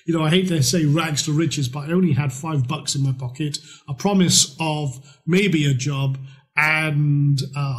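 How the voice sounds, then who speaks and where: A middle-aged man with a Scottish accent, a trading course presenter giving a promotional pitch in a blunt, lively, casual way with mild swearing. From Scotland.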